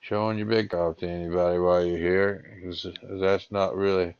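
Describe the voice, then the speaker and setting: A man with a drawl talks in a very deep, gravelly voice, ribbing the person on the other end with folksy, mock-innocent questions. The recording is muffled and low-fidelity. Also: level tone, normal speed, no echo. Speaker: scambaiter. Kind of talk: prank phone call.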